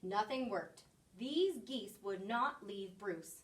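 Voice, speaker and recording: A woman reading a children's picture book aloud in an expressive storytelling style. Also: medium pitch, slow, recorded from a distance. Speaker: story reader.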